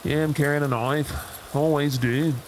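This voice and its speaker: Man playing an injured Australian rescuer, breathless, protective, unhurried phrasing, audio drama, rain and vehicle noise behind. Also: a little high, fairly even intonation, close to an everyday voice, low-quality recording. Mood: reassuring, tense. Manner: urgent, reassuring, breathless. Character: injured, protective Australian crash survivor rescuing a trapped driver. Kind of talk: dramatic audio roleplay acting.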